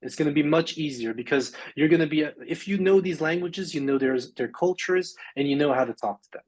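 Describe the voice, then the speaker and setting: A man's voice: a little high, fairly quick, slightly rough. A man raised in Luxembourg, a sports agent, chatting casually as a podcast guest, rambling with many fillers and restarts. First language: English. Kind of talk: casual interview chat.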